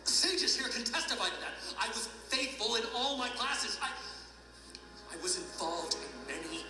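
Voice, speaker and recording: A man's voice: very high-pitched, lively intonation, normal speed. An actor playing a self-righteous condemned man, protesting and pleading with his king in anguished, melodramatic audio drama style. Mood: shocked pleading. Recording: heavy hall echo, music behind.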